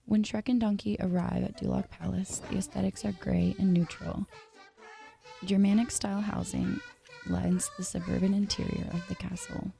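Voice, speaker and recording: A woman reading a scripted analytical video essay in a measured, formal way. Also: mid-range voice, steady tone, gravelly, warm tone, soft background music.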